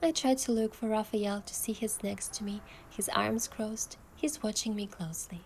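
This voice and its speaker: A young woman gently narrating a romantic story in the first person as its heroine, in a soft, hushed voice. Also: medium pitch, moderate pace, slight background noise.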